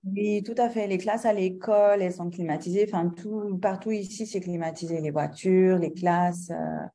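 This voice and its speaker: A middle-aged woman from Guinea speaking as an interview guest and mother. She talks slowly and hesitantly, with many fillers and restarts and light laughs. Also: fairly low pitch, steady tone, mellow. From Guinea, of Lebanese descent. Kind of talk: conversational interview.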